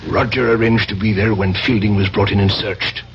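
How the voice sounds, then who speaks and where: An actor playing a cool, calculating spymaster in a radio drama, speaking in a measured, formal, authoritative way. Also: male voice, on the low side, fairly even intonation, natural voice. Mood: cool, commanding. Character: commanding, calculating male spymaster, cool and controlled. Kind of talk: 1970s radio drama acting. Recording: dull vintage radio broadcast, faint noise.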